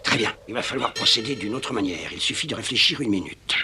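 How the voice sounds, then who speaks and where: A man's voice: fairly low pitch, animated, fast, background noise. A man voicing a scheming, bossy villain in a French-dubbed cartoon, curt and commanding. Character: scheming, bossy male villain ordering henchmen around. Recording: music behind the voice.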